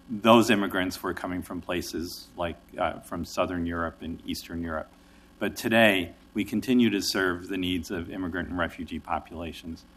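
A man's voice: low-pitched, a bit bright. A man, an executive director, giving friendly, conversational testimony to a city council. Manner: friendly, conversational, some restarts. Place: council chamber.

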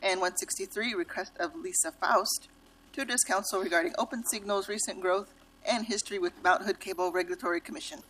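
Woman clerk reading out meeting agenda stuff, medium pitch, very procedural. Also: brisk, a bit bright, a little hiss or hum, low-quality recording. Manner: formal, procedural. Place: council chamber.

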